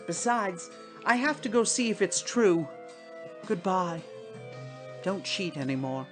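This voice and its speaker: A man's voice: high-pitched, expressive, takes it slowly, over music, voice pushed up. A woman voicing a prim, irritable man who has newly died, protesting in a stammering, indignant way as a storybook character. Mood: exasperated, earnest.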